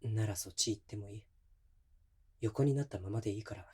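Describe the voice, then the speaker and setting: A man's voice: lowish voice, brisk, a little mellow, slightly rough. A male actor playing a defensive, hot-tempered young boyfriend in a voice drama, speaking bluntly and casually to the listener up close. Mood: subdued, gentle. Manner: blunt, casual masculine speech. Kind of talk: situation voice drama addressed to the listener. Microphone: close binaural microphone.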